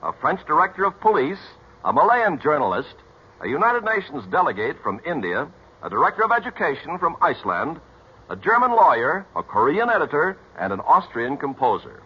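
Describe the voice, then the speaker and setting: A man's voice: a little high, very animated, brisk, slightly rough. A man with an American accent reading a scripted announcement as a 1940s radio announcer. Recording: muffled 1940s radio recording.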